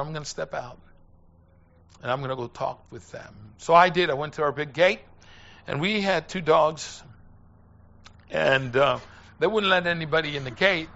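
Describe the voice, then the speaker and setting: Bright, creaky-voiced older male preacher. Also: a little high, muffled recording, slight background noise. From Puerto Rico. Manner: earnest, halting, with pauses and repeated phrases. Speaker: preacher and former missionary. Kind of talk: personal testimony in a sermon.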